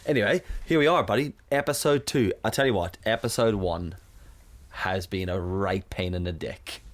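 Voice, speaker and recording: Loud, boomy South African man. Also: normal speed. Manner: swears freely, laughs often, self-mocking. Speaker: podcast co-host. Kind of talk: casual chat.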